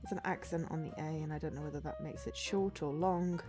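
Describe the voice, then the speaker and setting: A woman's voice: sits low, steady tone, a little fast, over music. A woman streaming a game while she has a cold, chatting casually and hesitantly, with lots of ums and stumbles over names as she reads out a game description. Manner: chatty and hesitant, full of ums, unsure of name pronunciations. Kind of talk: casual livestream chat, reading a game blurb aloud.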